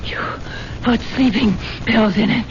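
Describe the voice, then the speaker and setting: A woman acting a drugged, dying wife in a vintage radio melodrama. She is high-pitched and drags her words out in faltering gasps, frightened but vengeful. The recording is muffled and noisy. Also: measured pace, close to an everyday voice. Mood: horrified, weeping. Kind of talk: 1970s radio drama acting.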